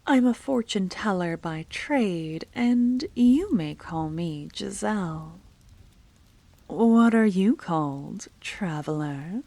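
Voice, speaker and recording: A mysterious fortune teller woman, played by a woman in an ASMR roleplay, draws the listener in with slow, hushed words. Her voice sits a little low and sounds mellow and warm. She teases and flirts in soft whispers. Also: expressive, dry recording, full character voice. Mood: flirtatious. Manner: teasing, soft whispered delivery.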